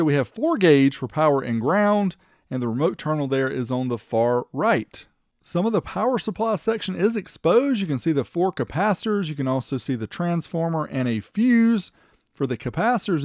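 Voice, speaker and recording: A man's voice: on the high side, darkish tone, no echo, clean studio sound. A man narrating a product showcase as the host, in a chatty, informal way. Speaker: host of a vintage car audio video channel.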